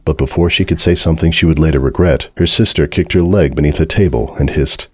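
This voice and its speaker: A man narrates a story in an extremely low voice. The delivery is a dramatic scripted reading, recorded close with no room sound. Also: fast talker, no room sound.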